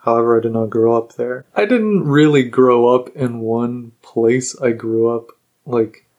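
A young man speaking casually about where he grew up, relaxed and loose with fillers. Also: medium pitch, unhurried, highs cut off. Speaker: interviewee. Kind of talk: casual personal account.

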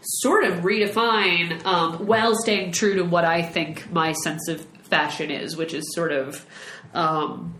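A woman's voice: lowish voice, fairly even intonation, unhurried, a bit bright, echoey room, slight background noise. A woman in her late twenties co-hosting a casual podcast. She talks in a chatty way, often restarting and trailing off. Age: late 20s. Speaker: podcast co-host. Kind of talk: casual chat.

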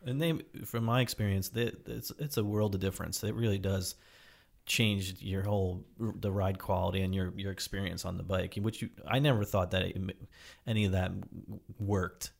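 Deep, gravelly man hosting casually. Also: fairly even intonation. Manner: informal, halting, loosely phrased questions. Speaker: podcast host, interviewer. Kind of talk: casual interview chat.

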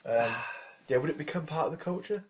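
A man's voice: fairly high pitch, animated, brisk, a little hiss or hum. A British man co-hosting a podcast in casual chat, rambling with many restarts and fillers. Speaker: podcast co-host.

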